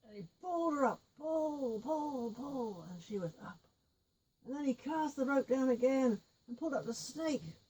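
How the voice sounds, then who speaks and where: Soft, mellow woman telling a folk tale. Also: sits high, expressive, normal speed, slightly rough, rough recording. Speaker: storyteller with puppet figures. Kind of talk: oral folk tale storytelling, informal.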